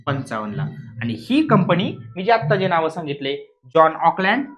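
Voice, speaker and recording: A man's voice: on the high side, sing-song, moderate pace, fairly bright tone. A man teaching an exam lesson in a didactic way, repeating key points and often asking short questions to check understanding. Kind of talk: explanatory classroom lesson.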